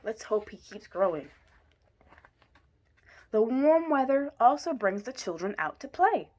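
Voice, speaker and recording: A woman reading a children's picture book aloud in an expressive way, with deliberate emphasis. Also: on the high side. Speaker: story reader.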